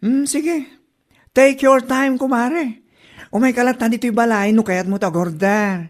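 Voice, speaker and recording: A high-voiced, gossipy village woman with an Ilocano accent , acted by a woman in a comedy drama. She speaks in a teasing, chatty way. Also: unhurried, lightly acted. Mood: casual.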